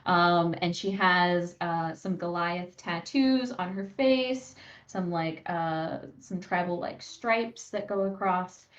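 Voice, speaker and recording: Female player, slow and hesitant, dull lo-fi mic. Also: lowish voice, echoey room. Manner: hesitant, filler-heavy. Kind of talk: casual chat.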